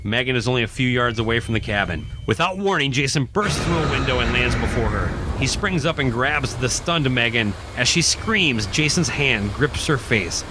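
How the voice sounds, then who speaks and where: A man's voice: medium pitch, animated, normal speed, few pauses, very noisy. A man reading a horror radio play as narrator, in a suspenseful, theatrical style. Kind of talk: dramatic scripted horror narration. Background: prominent music.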